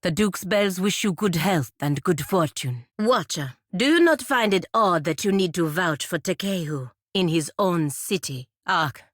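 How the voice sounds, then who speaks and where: Actress as dutiful, disapproving female knight-diplomat, fantasy RPG. Deep register, formal measured delivery, dry studio sound. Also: dry recording, natural voice. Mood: formal, respectful. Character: proud, stern, dutiful female paladin envoy of a merchant republic. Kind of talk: scripted fantasy video game dialogue.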